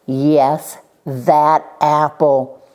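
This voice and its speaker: A woman's voice: fairly low pitch, expressive, measured pace, darkish tone, voice pushed up. A woman voicing a timid young boy lightning bug who is finding his courage, in an emphatic children's storybook read-aloud. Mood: firm.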